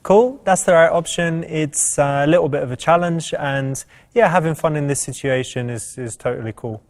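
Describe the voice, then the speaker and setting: A man's voice: on the high side, moderate pace. A man speaking as a dating coach, giving informal commentary and advice.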